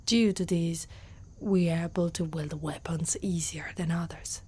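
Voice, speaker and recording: A woman from Italy playing an older, protective female centaur warrior haunted by loss, in a soft, slow, breathy whisper for an ASMR roleplay. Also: fairly low pitch, fairly even intonation. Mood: reflective, somber.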